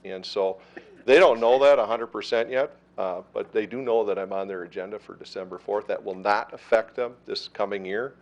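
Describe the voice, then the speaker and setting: Gravelly low-voiced man explaining plans. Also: moderate pace, lo-fi sound. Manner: steady, reassuring explanations with frequent fillers. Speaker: ambulance service manager. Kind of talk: answering questions at a council meeting. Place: council meeting room.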